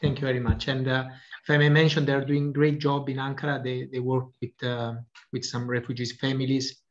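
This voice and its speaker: A man's voice: mid-range voice, level tone, a little fast. Man, call moderator, hesitant and courteous, muffled webcam sound. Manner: hesitant, polite, frequent fillers. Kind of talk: video call introductions. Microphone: video call. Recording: dull lo-fi call audio.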